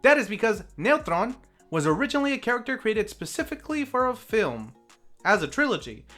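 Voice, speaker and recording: A review host narrating from a script, with theatrical flourishes and wry deadpan asides. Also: mid-range voice, fairly quick, faint music behind. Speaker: host of a film review series.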